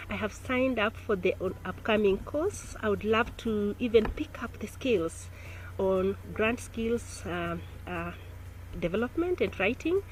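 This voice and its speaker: A woman giving an earnest, somewhat halting testimonial about a course she took. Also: mid-range voice, rough recording. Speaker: course participant.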